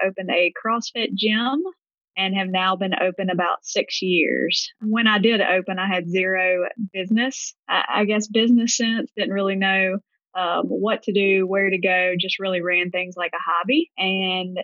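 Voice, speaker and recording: A woman with a Deep South Mississippi accent chats as a podcast guest, stringing her story together in easy, run-on phrases. Her voice sits at an ordinary middle pitch. The recording is dry and somewhat dull, a touch lo-fi. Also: no echo. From Gulfport, Mississippi, US. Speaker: podcast guest, gym owner. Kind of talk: casual interview answers.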